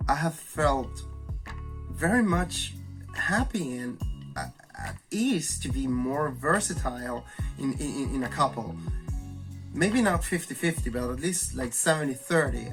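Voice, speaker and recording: A man with a raspy, flu-hoarse voice hosts a candid, thoughtful educational monologue. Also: mid-range voice. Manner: thoughtful, candid, slow and searching. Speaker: video host.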